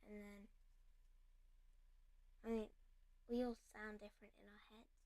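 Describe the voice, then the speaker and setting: A woman's voice: medium pitch, little pitch movement, a little slow, dark tone, no room sound, poor audio. A woman chatting and joking while stitching along, sometimes dropping to a whisper. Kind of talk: casual chat during live craft session.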